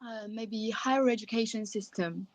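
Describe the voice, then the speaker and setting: A woman's voice: a little high, moderate pace. A woman from China, a native Chinese speaker with a learned British English accent, talking with her teacher as a student on a video call, polite, modest and giggly. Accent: British English, learned from films. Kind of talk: casual conversation lesson.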